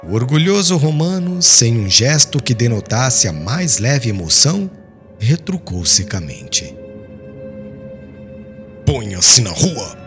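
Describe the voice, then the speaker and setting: A man's voice: mid-range voice, sing-song, measured pace. A man reading a literary audiobook in a solemn, carefully phrased, dramatic way. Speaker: audiobook narrator. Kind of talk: dramatic scripted narration. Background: soft background music.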